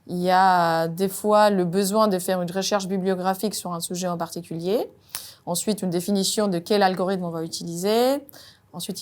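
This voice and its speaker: A woman in her thirties from Colombia, speaking French. Her voice sits a little low. She talks through her engineering work in a measured way, often pausing with hesitant fillers. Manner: measured, frequent "euh" hesitations. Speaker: robotics R&D engineer. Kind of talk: job interview-style monologue.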